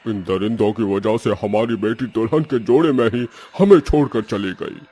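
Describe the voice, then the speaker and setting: A man's voice: lowish voice, moderate pace, mellow, natural voice. A man voicing the grieving, vengeful ghost of an old father in a cartoon horror story, brooding. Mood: bitter.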